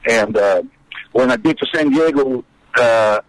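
Brazilian-accented older man, halting speech. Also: mid-range voice, sing-song, gravelly, no room sound, low-quality recording. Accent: Brazilian Portuguese. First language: Portuguese. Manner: halting, many repeats and restarts. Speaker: guest, former college kicker. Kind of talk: casual interview reminiscing.

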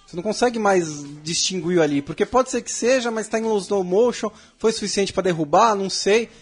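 A man on a sports talk panel, speaking quickly and conversationally. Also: sits high, breathy edge, faint music behind. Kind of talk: casual sports chat.

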